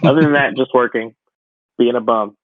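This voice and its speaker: A man's voice: medium pitch, normal speed, no room sound. Young man on a tinny, phone-like line. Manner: self-deprecating, chummy. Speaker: podcast co-host. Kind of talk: casual chat.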